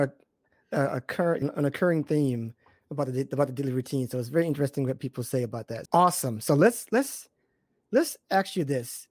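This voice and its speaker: Halting interviewer with a dry sound. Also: male voice, a little high, darkish tone, no echo. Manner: halting, repeats words, laughs along. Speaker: podcast host.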